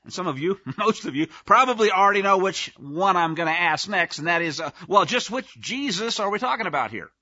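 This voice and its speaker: Male preacher, high lively pitch, folksy sarcastic teaching, dry close sound. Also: brisk, few pauses, no echo, dull audio. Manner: folksy, conversational, sarcastic asides. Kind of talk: informal teaching sermon.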